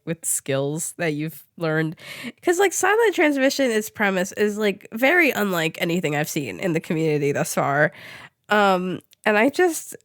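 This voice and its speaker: A woman's voice: lowish voice, fairly bright tone. A woman interviewing a guest in a casual, rambling and giggly way, reacting openly to what she hears. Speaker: host, interviewer.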